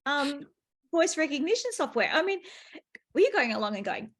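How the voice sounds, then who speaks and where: A woman's voice: sits high, very animated, normal speed. A woman hosting a conversational book interview, effusive and emphatic in her delivery. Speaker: podcast host interviewing an author.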